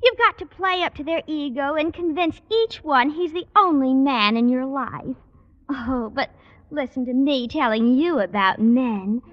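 A woman's voice: sits high, lively intonation, a little slow. A gushing, coy young girl. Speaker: student. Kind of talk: 1950s radio sitcom acting. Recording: dull old radio recording.

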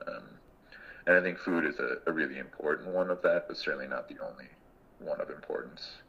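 Man in his thirties, extremely low voice, bright edge, reflective and halting, muffled lo-fi recording. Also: vocal fry. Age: 30s. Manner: thoughtful, reflective, pauses often mid-sentence. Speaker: guest. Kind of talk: casual conversation.

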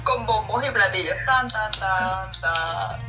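Bright effusive Caracas woman. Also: mid-range voice, takes it slowly, distant mic, faint music behind, low-quality recording. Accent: Venezuelan, Caracas. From Venezuela. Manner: cheerful, effusive. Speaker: podcast co-host. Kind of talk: casual podcast chat.

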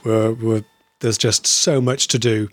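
A man's voice: lowish voice, fairly even intonation, measured pace. A man co-hosting a podcast in friendly casual chat, hesitant with lots of uh sounds and restarts, on a video call. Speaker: podcast co-host.

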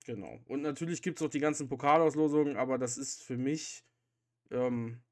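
A man's voice: medium pitch, normal speed. Male podcast host, mid-range voice, chatty and informal. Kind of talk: casual podcast chat.

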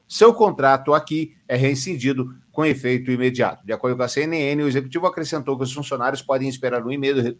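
A Brazilian man co-hosting a podcast, reading news stories aloud and adding dry, sarcastic comments. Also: mid-range voice.

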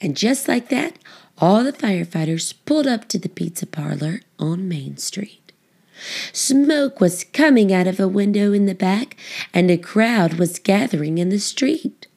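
A woman narrating a children's book, phrasing it expressively and deliberately for young listeners. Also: mid-range voice, expressive, creaky, darkish tone. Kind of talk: scripted storybook narration.

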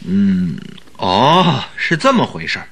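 Radio drama sidekick: bright, forceful voice, lively swoops, earnest, excitable, forever questioning. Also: male voice, on the low side, slow, slightly rough, heavily acted. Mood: puzzled. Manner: questioning, exclamatory. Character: earnest, excitable male sidekick, puzzled and admiring. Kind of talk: Mandarin radio drama acting.

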